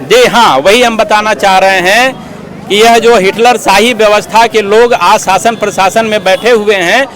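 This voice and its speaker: High-pitched man explaining earnestly in interview. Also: moderate pace, fairly bright tone. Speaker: interviewee, Buddhist activist. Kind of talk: outdoor street interview, explanatory speech.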